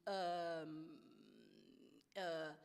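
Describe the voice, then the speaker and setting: A woman speaks at a very slow pace, stuttering often and restarting her words. Her voice rings out with long reverb in a large hall. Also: lowish voice, steady tone, smooth. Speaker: politician and anti-racist activist. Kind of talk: panel talk. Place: large hall at a public event.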